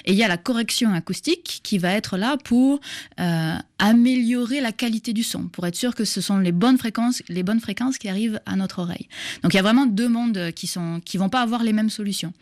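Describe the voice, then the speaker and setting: A woman's voice: mid-range voice, fairly quick, darkish tone, dry recording, clean studio sound. Woman with a mixed southern French–Québécois accent. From southern France. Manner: hesitant, many restarts and fillers. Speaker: guest, science popularizer. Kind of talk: explanatory interview talk.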